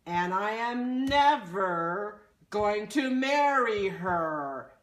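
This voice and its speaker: A woman voicing a young man who stammers, apologizes and firmly corrects his excited friends. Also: medium pitch, very slow, reverberant. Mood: exasperated. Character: flustered young man, patient and exasperated.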